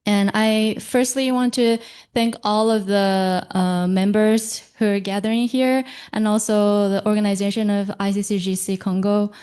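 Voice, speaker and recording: A young woman from Japan, speaking as a panelist at a conference in a slow, halting way, with fillers and self-corrections. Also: mid-range voice, steady tone, a little mellow, clean tone.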